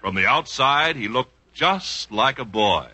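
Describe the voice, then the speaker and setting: A male announcer reading mock-grand scripted narration in 1940s radio style, pompous and declamatory, with drawn-out phrases. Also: medium pitch, expressive, sharp tone, breathy edge. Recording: noisy, crackly 1940s radio recording.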